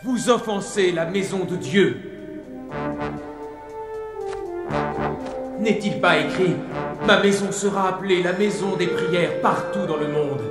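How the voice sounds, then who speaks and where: Man as forthright disciple, echoey, highish. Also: moderate pace, close to an everyday voice. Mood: stern, righteous. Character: confident, forthright male disciple of Jesus. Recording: echoey sound with music behind.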